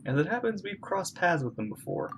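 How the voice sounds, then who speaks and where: A man's voice: high voice, sing-song, brisk, vocal fry, fairly bright tone, slight background noise. A man streaming his reactions to a game, chatting and joking casually and often reading along with the lines. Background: game music.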